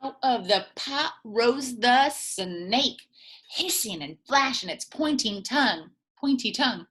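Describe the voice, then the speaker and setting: Animated bright-voiced woman reading a storybook. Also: a little high, takes it slowly, slightly rough. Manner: playful, expressive, with whispered asides to young listeners. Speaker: early childhood teacher reading a picture book aloud. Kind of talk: animated children's storybook read-aloud.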